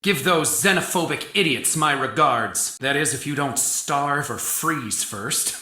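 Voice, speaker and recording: A woman's voice: low-pitched, measured pace, sharp tone, echoey room. A woman playing a menacing, taunting treasure-hunting villain in a video game, theatrical and gloating. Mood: smug, menacing.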